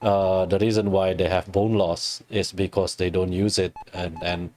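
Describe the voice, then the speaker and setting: A man's voice: deep voice, little pitch movement, normal speed, darkish tone. A man from Indonesia with an Indonesian accent, a scientist guest explaining in a casual, hesitant way with many fillers and restarts. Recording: music playing behind.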